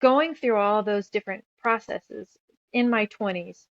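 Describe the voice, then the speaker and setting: A woman's voice: mid-range voice, a little slow, no echo, dull audio. A woman in her thirties telling her story casually as an interview guest, rambling and emphatic, piling up lists as she goes. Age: 30s. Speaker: guest author and musician.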